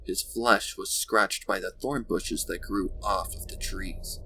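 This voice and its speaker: A man's voice: low-pitched, steady tone, slow, vocal fry, bright, forceful tone, slight background noise, poor audio. A man reading scripted story narration as the narrator of a dramatic tale.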